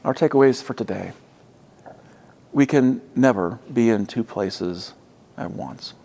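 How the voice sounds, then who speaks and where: A male pastor delivers a reflective sermon in a fairly ordinary-pitched voice with a gravelly, creaky edge. His phrasing is measured and deliberate, and he leans on emphatic pauses between short thoughts. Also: mellow. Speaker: pastor preaching.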